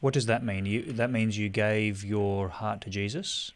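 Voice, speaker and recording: A man's voice: fairly low pitch, fairly even intonation, measured pace. A man interviewing a guest on a faith program, asking leading, probing questions. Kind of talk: religious interview.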